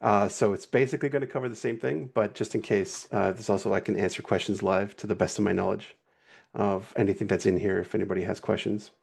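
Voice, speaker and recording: Gravelly low-voiced man, relaxed and rambling. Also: fast talker. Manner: relaxed, rambling, self-correcting. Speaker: guest animator. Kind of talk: casual livestream walkthrough.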